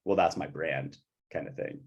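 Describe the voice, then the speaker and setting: Guy with a deep husky voice, composer just chatting about work. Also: moderate pace, highs cut off. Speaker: music composer for audio fiction. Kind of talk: informal work chat.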